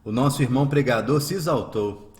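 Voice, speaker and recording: A man playing a calm, devout, reasonable schoolteacher, speaking earnestly in a religious radio drama. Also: medium pitch, close to an everyday voice. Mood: calm, resolute. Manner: measured, serene, earnest. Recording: echoey room.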